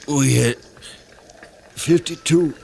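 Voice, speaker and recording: Dying frontier survivor, very slow gasping speech, raised pitch, faintly noisy vintage radio. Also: male voice, vocal fry, darkish tone. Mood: agonized, dazed. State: gasping, weak, halting. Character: dying, dazed male massacre survivor. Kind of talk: old-time radio western drama acting.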